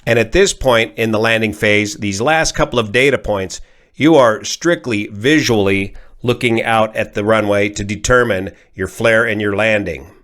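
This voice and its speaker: American guy, kinda low voice, pilot type breaking stuff down step by step, measured n technical. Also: a bit bright. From California, United States. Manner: measured, methodical, technical explaining. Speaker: pilot and aviation commentator. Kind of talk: informal explanatory accident analysis.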